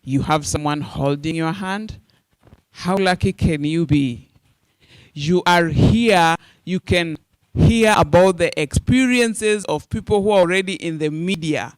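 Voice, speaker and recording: A man's voice: on the high side, measured pace, clear, resonant tone, dry recording. A Kenyan man in his forties, a radio journalist speaking earnestly and directly to media students. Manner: earnest, direct, addresses listeners as "you guys".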